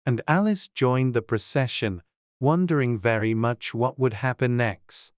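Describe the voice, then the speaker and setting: Audiobook narrator: mid-pitched, crisp and smooth, scripted storybook reading in a dry, close recording. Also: measured pace, no room sound.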